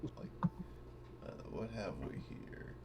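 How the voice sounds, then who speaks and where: A man with a gravelly creak, chatting casually and jokingly as a player in an online tabletop game. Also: fairly low pitch, animated, slow, a little mellow. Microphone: online voice call. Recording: faintly noisy online voice chat.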